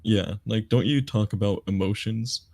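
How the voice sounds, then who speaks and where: A man cuts into a heated talk to ask a side question, pausing often on filler words. His voice is slightly low and tentative. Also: a little mellow, no echo, clean studio sound. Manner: hesitant, filler-heavy. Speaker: third-party participant.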